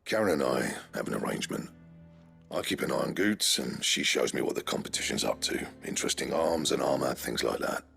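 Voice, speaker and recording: An actor playing a gruff, proud older blacksmith in a video game, sullen, blunt and foul-mouthed. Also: male voice, lively intonation, brisk, a bit bright, soft background music, exaggerated voice. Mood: bitter, confessing. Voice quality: deep, gravelly. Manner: blunt, grumbling, curt.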